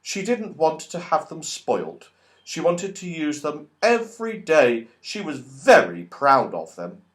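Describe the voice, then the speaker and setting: A man reading a children's story aloud as an audiobook narrator, expressive and animated. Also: on the high side, a little slow. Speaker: audiobook reader.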